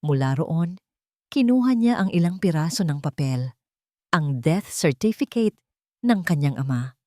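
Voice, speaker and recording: A Filipino woman narrates a dramatic scripted story in a fairly low voice. The recording is dry and close, with very little room sound. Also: animated, measured pace, a little mellow, dry recording.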